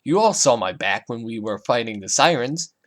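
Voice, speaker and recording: A man's voice: medium pitch, lively intonation, moderate pace, fairly bright tone, lightly acted. A man voicing an easygoing, slightly guarded teenage girl with a casual, hesitant manner in a story reading. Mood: resigned.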